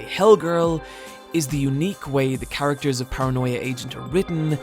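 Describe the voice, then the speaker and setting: A man narrating a scripted video essay, with dry, wry asides. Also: medium pitch. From Britain. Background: soft background music.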